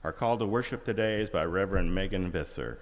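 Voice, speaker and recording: A man serving as worship associate, leading a church service with a measured, solemn reading. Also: low-pitched. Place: large church sanctuary. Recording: reverberant hall.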